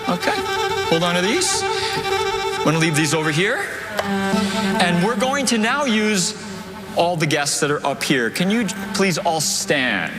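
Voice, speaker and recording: A man's voice: high voice, very animated, unhurried, long pauses, loud music behind the voice. A man performing a magic trick on a theater stage, giving the volunteers step-by-step instructions in upbeat live patter.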